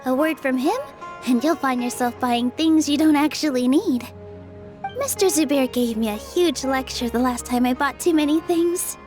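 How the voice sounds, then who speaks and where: Kind, polite young theatre girl, voiced by an actress, high-pitched, anime game dub with faint music. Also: moderate pace, natural voice. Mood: mild, cautioning. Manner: polite, gentle, considerate. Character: gentle, kind young woman theatre dancer.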